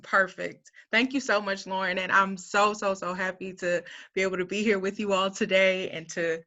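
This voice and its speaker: Woman in her 30s, recruiter presenting to students, earnest and upbeat, bright forceful voice, muffled lo-fi call audio. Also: mid-range voice, steady tone, normal speed. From Montgomery, Alabama, US. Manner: upbeat and earnest, laughs lightly between points.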